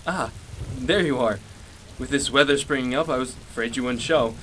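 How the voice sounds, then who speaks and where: A man's voice: medium pitch, normal speed, fairly bright tone, close to an everyday voice, rough recording. A man playing an eager, nervous salesman in a radio drama, hesitant and deferential. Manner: hesitant, ingratiating. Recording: faint hiss and rain.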